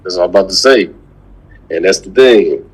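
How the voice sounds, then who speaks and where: A man's voice: low-pitched, normal speed, slightly rough, poor audio. A man chatting casually as a co-host, reacting in simple conversational remarks. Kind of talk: casual chat.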